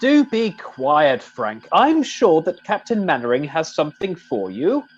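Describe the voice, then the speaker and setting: A man's voice: fairly high pitch, sing-song, a little slow, husky, highs cut off, soft background music, exaggerated voice. A man playing a polite, mild-mannered middle-aged sergeant in an amateur comedy radio play, courteous and hesitant. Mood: gently chiding.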